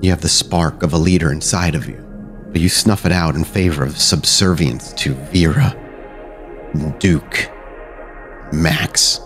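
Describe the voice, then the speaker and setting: Man playing a deep-voiced, goading undead vampire sire. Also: a little slow, soft background music. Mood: challenging. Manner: needling, grandiose, rhetorical questioning. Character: dead vampire sire as mocking, goading mirror reflection. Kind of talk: tabletop roleplay acting.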